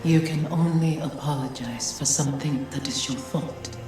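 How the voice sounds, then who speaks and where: A woman's voice: low-pitched, fairly even intonation, normal speed, lightly acted. A woman playing a dead wife's ghost in a video game, wounded and proud, speaking with mournful deliberation. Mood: gentle, consoling. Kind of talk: dramatic video game acting. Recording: cinematic music behind. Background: music.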